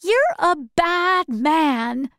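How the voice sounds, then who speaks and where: A forthright young girl who asks pointed questions, voiced by a woman reading a storybook. Her voice is high and lively in pitch, and the delivery is very slow. The sound is dry and close. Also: no room sound, full character voice. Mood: questioning.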